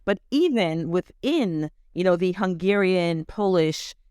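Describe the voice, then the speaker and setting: A woman's voice: lowish voice, lively intonation, slow pace, a little mellow, clear, resonant tone, dry recording. Middle-aged North American woman, dry sound. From Canada. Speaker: interview guest, education advocate. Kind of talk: conversational personal account.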